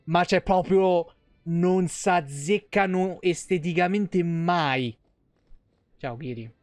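A man's voice: high voice, slow, no room sound, soft background music. A man, chatting casually and colloquially with viewers as he plays. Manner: colloquial, swearing. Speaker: game streamer. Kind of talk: casual live game commentary, chatting with viewers. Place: small room at home.

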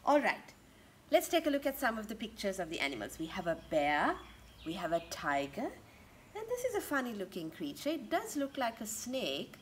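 A woman in her thirties doing an interactive picture-book read-aloud for young children, expressive and full of questions to her listeners. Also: medium pitch. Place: outdoors in a home garden. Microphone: camera a couple of metres away. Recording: outdoor ambient garden noise.

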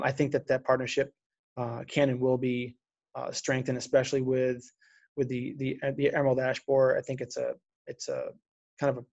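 A man speaking in a webinar about city trees, halting and full of restarts and fillers as he answers questions over a video call. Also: mid-range voice, vocal fry, a little mellow, no echo, dull audio. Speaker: city urban forestry official. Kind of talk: unscripted webinar presentation and Q&A.

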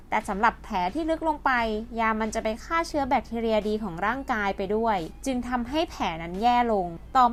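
A woman's voice: on the high side, a little hiss or hum. A woman hosting and narrating a scripted educational piece, explaining politely to her viewers. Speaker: host and narrator.

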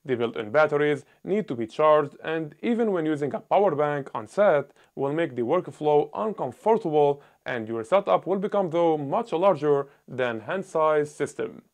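A man in his thirties giving a scripted gear review on a small indoor set, talking loudly and emphatically into a lapel mic. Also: on the high side, expressive, normal speed, few pauses. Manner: loud, emphatic delivery.

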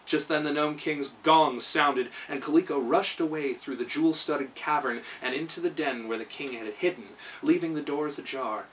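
A man's voice: on the high side, moderate pace, few pauses, a bit bright, recorded from a distance, slight background noise, low-quality recording. A man in his thirties reading a children's story aloud with expression. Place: small living room, indoors.

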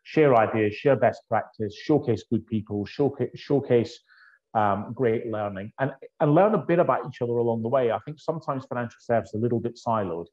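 A Scottish man in his fifties from the northeast of Scotland, chatting as a guest over a video call with an earphone mic and telling his story in a relaxed, anecdotal way. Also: medium pitch, fairly quick. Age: 50s. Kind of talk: conversational interview. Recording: dull lo-fi video call audio.

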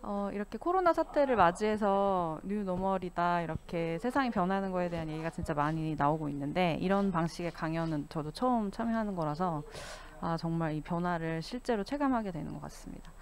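A middle-aged woman giving a formal, measured expert presentation on a panel, into a handheld microphone through a mask. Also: lowish voice, expressive. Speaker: panelist, consulting company CEO. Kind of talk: formal presentation on industry trends. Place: stage at an expo panel, indoors. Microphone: handheld microphone, speaking through a face mask.

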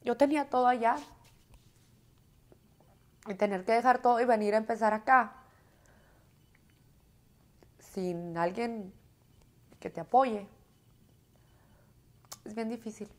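A woman's voice: a little high, takes it slowly, long pauses. A woman in her thirties giving a personal account in an interview in a living room. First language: Spanish.

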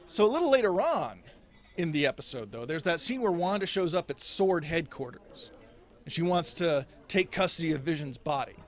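A man in his thirties doing a deadpan stand-up comedy routine at a stand mic, gesturing as he talks. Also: fairly high pitch, moderate pace, crowd noise. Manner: deadpan delivery with animated, sweeping hand gestures. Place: indoors, small set in front of a red curtain. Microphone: stand mic in front of him.

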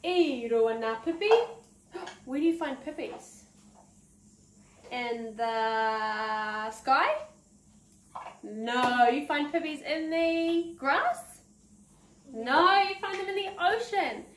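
High-voiced New Zealand woman teaching small children. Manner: animated, encouraging, sing-song teacher talk with drawn-out words. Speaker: preschool teacher and story time host. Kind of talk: interactive children's story time lesson. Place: preschool room, indoors. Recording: roomy, distant mic, background noise.

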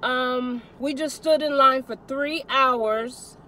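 A woman's voice: high voice, slow, sharp tone, poor audio. Upbeat woman vlogging from a car. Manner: upbeat, enthusiastic. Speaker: food vlogger. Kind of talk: casual food review chat in a car. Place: inside a parked car at night. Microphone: camera mounted on the dashboard.

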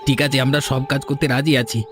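A man's voice: mid-range voice, steady tone, fairly quick, music in the background, lightly acted. A man voicing a cowed village schemer in a cartoon fairy tale, pleading in a servile, stammering way. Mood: contrite.

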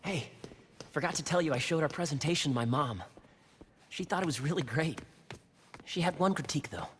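A man's voice: a little high, lively intonation, fairly quick, a bit bright, slight background noise. A man voicing a friendly, nervous teenage schoolboy sidekick in a video game, casual and chatty.

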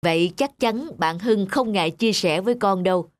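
A woman voicing a patient, encouraging cartoon father who reasons gently and instructively. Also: medium pitch, normal speed, lightly acted. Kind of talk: cartoon dialogue. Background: music.

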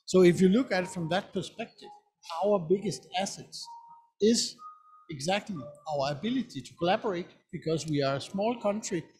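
A man's voice: high voice, normal speed, darkish tone. A middle-aged Danish man with a Danish accent, answering earnestly and emphatically on a conference panel stage, often repeating words. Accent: Danish, from the west coast. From Denmark. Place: conference pavilion stage, indoors.

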